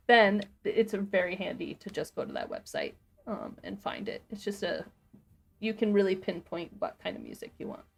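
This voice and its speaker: A woman co-hosting a livestream, giving a casual step-by-step software walkthrough. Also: medium pitch, big pitch swings, moderate pace, gravelly. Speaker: livestream co-host and tutorial presenter; sound designer.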